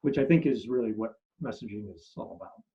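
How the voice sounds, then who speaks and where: Man, middle-aged, German first language, animated storyteller, repeats words, muffled lo-fi sound. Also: quick pace. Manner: animated storyteller, many restarts, drops low at phrase ends. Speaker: marketing expert guest. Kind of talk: interview answers, storytelling. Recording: muffled lo-fi audio.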